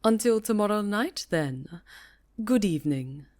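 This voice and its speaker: A woman's voice: medium pitch, animated, unhurried, darkish tone, lightly acted. A woman voicing a haughty, menacing vampire countess with a silky, imperious delivery in a fantasy roleplay. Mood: smug, gracious. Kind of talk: fantasy ASMR roleplay acting.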